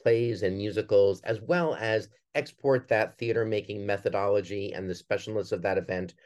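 A man's voice: lowish voice, moderate pace, a little mellow. A man answering conversationally as a guest on an interview podcast. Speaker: podcast guest, theater artistic director.

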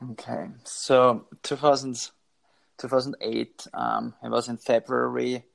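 A man, a podcast guest answering in a calm, measured way. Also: mid-range voice, vocal fry. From Austria.

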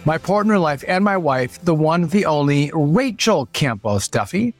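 A man's voice: a little high, big pitch swings, moderate pace, no room sound, soft background music. A man hosting a casual political chat podcast, stuffy with a runny nose, walking through poll numbers with many fillers. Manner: talks through numbers with frequent fillers and restarts. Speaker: podcast host.

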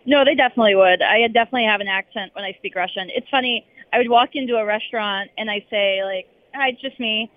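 A woman's voice: mid-range voice, fast talker, hardly any pauses, dry recording. Woman, young, journalist, hesitant and rambling, phone-quality audio. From United States. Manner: hesitant and rambling, full of hedges. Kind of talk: interview answers. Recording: tinny phone-line sound.

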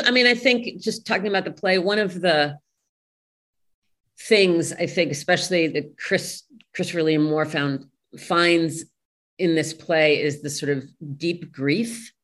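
A woman with a low voice speaks as an interview guest. She is thoughtful and halting, often searching for the right words as she explains her view. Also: animated, a bit bright. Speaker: interview guest, play translator.

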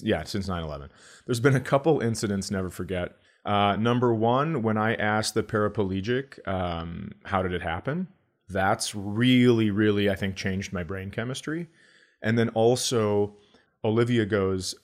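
A middle-aged American man with a fairly low voice tells stories on a comedy podcast. His delivery is casual and sweary, and he slips into acted-out dialogue as he goes. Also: normal speed. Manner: acts out dialogue, profane. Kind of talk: casual comic storytelling.

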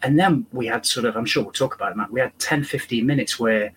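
Croaky guy, football fan on a podcast, rambles on excitedly, slight hiss. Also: mid-range voice, quick pace. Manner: enthusiastic, rambling. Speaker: fan guest. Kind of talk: casual podcast chat.

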